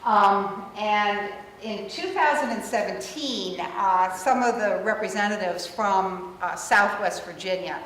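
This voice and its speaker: A woman's voice: medium pitch, slow pace, hardly any pauses, a bit bright, a little hiss or hum. A middle-aged American woman, a physician, giving a conversational and emphatic conference talk, repeating her points. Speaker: physician speaker. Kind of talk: conference presentation. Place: hotel conference room. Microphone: no mic, room pickup. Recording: echoey hall from far off.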